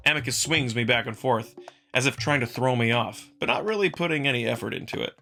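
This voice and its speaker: Bright-voiced man narrating a story. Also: mid-range voice, lively intonation, fairly quick, creaky, soft background music. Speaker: narrator and performer. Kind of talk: scripted first-person visual novel narration.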